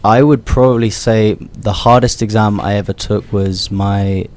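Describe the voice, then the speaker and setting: A young man from the United Kingdom, a radio guest telling a story in an animated way and explaining patiently. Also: fairly low pitch, unhurried. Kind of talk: casual storytelling.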